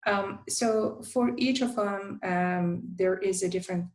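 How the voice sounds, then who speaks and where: A woman presenting a design guide, a native Turkish speaker who talks in a halting way with many fillers and restarts. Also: medium pitch, low-quality recording. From Turkey. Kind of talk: conference presentation.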